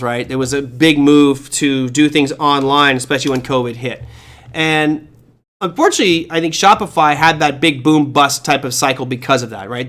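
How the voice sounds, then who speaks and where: A man's voice: on the high side, fairly bright tone. A man hosting a live trading show, answering viewer questions in a chatty, rambling, self-deprecating way.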